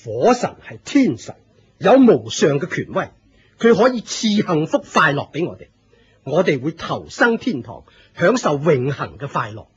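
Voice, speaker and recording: A proud fire-cult master with a very husky, fairly high voice and a Hong Kong Cantonese accent. He declaims grandly and pompously, swooping with authority, as in a radio play. The recording is muffled. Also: sing-song, a little slow, full character voice. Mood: lofty, instructing. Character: proud older male fire-cult leader, grand and fervent. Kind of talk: Cantonese radio drama acting.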